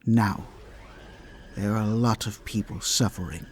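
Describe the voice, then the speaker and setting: A man voicing a mysterious young man with a mature, calm voice. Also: fairly low pitch, slight background noise, close to an everyday voice. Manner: calm and unhurried.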